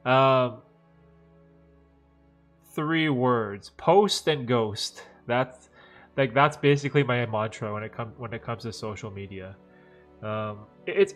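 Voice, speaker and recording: A man in his thirties, a bit congested and nasal, rambles easily through viewer questions on a live stream, tossing in "like" and "right?" all the time. Soft music plays faintly under his voice. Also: medium pitch. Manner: relaxed, rambling, heavy use of "like" and "right?". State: stuffed up, slightly nasal from dust allergies. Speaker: livestream host answering viewer questions. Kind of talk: casual live Q&A chat.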